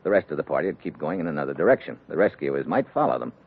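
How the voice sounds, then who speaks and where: An actor playing a tough, wry American secret agent in an old radio adventure, with sardonic, clipped delivery. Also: male voice, very deep voice, big pitch swings, rapid-fire, slightly rough, heavily acted. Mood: sardonic, scheming. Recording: muffled, noisy old radio recording.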